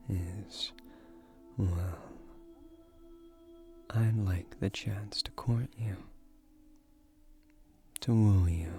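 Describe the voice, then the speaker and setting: Slow, low ancient guardian, voiced by a man. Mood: courting, confident. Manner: formal, unhurried, earnest wording with soft hesitations. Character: ancient male guardian of a magic tree, gentle, courtly, persuasive. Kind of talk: scripted romantic fantasy audio roleplay.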